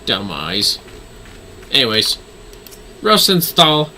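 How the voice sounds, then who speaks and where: A man's voice: mid-range voice, wildly swooping pitch, takes it slowly, bright, forceful tone, slight background noise. A man giving casual live gameplay commentary, talking to himself and swearing easily as he plays. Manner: easygoing swearing, talks to himself. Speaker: game streamer. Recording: music behind the voice.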